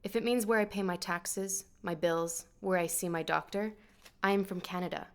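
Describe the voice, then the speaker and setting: A young woman from Texas giving a reflective, searching monologue with a quietly wry edge. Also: fairly low pitch, steady tone, moderate pace. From Texas, United States. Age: young adult. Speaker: interviewee in a video installation.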